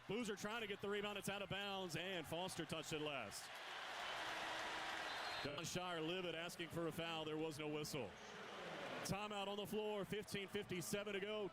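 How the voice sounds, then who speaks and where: A man giving radio play-by-play for a college basketball game, his pitch jumping up on big plays. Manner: rapid, rising excitement on big plays. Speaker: play-by-play announcer. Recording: radio broadcast with loud arena crowd noise. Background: arena crowd and bouncing basketball.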